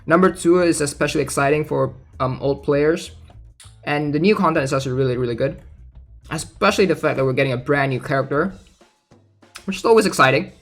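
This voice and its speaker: Higher-pitched, creaky-voiced man over music. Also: brisk. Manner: informal, thinking aloud. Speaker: gaming content creator. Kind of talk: casual commentary while reading out game news. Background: background music.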